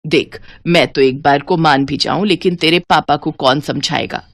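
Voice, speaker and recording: A woman puts on a middle-aged village mother for a cartoon tale. Her voice is pitched down, cautious and full of fretful questioning. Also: normal speed, dry recording, studio quality. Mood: concerned, doubtful. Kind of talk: animated moral story voice acting.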